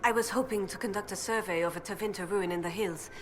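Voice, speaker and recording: A woman's voice: mid-range voice, quick pace, slight background noise, lightly acted. A woman playing a polite, scholarly young research assistant in a video game, wry and courteous. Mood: wry, winded. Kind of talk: video game dialogue acting.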